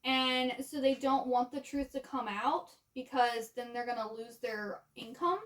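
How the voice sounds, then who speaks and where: A woman's voice: fairly high pitch, measured pace, a bit bright, recorded from a distance. A woman talking informally about books as a vlogger, in a chatty style with plenty of filler words. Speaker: book vlogger. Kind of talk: casual book chat to camera with some reading aloud of blurbs.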